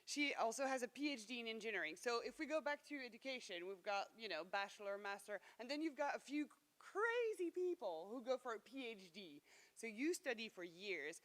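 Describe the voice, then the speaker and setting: A woman's voice: medium pitch, fairly bright tone. A woman hosting an event, introducing the next speaker in a chatty, playful way. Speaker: event host. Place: conference hall. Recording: reverberant hall.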